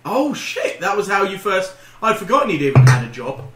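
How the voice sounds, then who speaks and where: A man's voice: high-pitched, sing-song, a little fast, over loud music. A male podcast co-host in casual comic banter, rambling, riffing and swearing. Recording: roomy, echoey group recording.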